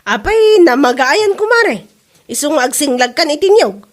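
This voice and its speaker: A woman acting a nosy, teasing village neighbour in a comedy drama, speaking in an animated gossipy way with an Ilocano accent. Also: high-pitched, moderate pace.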